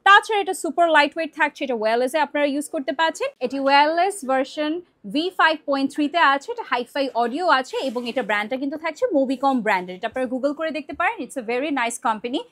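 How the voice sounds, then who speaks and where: A woman with a Bangladeshi accent presenting a product promo for an online shop, in a conversational sales pitch sprinkled with English words. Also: lively intonation, fast, a bit bright.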